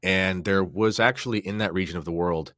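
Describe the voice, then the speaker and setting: Deep-voiced man explaining with emphasis. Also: brisk, a bit bright, dry recording, studio quality. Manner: emphatic, explanatory, posing rhetorical questions. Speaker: podcast guest. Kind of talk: impassioned religious discussion.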